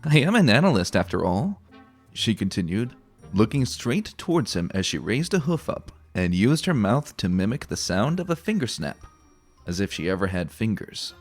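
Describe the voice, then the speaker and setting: A man reads a story aloud as a dramatic narrator. His delivery is theatrical and expressive, with a very lively pitch, and music plays behind him. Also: normal speed.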